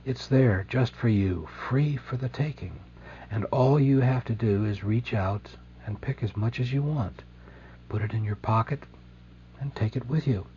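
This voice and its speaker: A man leading a guided meditation in a slow, soothing, gentle way, leaving deliberate pauses between phrases. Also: mid-range voice, muffled recording, a little hiss or hum.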